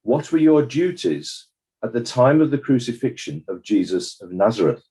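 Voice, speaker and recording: A man acting as a formal counsel who puts measured, probing questions to a witness in a dramatized inquest. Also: mid-range voice, big pitch swings, mellow, natural voice. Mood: formal, inquiring.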